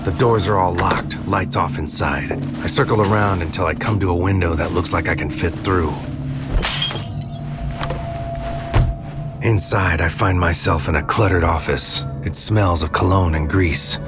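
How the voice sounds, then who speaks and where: An actor playing a brooding, anxious infiltrator, narrating a surreal sci-fi audio drama in terse, deliberate, poetic phrases. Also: male voice, sits low, lightly acted. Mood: tense, wary. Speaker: narrator and lead character. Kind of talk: scripted first-person noir sci-fi audio drama narration. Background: music under the voice.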